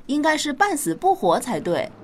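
An animated, higher-voiced radio-drama mother. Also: normal speed. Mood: gently chiding. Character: mother, gently correcting her young daughter. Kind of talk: Chinese radio drama dialogue.

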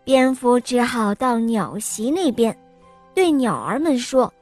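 A young woman hosting a children's bedtime story show, narrating in a sweet, sing-song, gently coaxing manner. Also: fairly high pitch, animated, takes it slowly, no echo, faint music behind.

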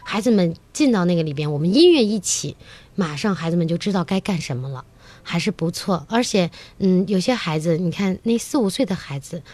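A woman's voice: lowish voice, brisk. A woman, a children's musical theatre teacher, chatting enthusiastically as a talk-show guest and acting out bits of conversation as she tells stories. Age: adult, mother of a young child. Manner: enthusiastic, animated storytelling with acted-out quotes.

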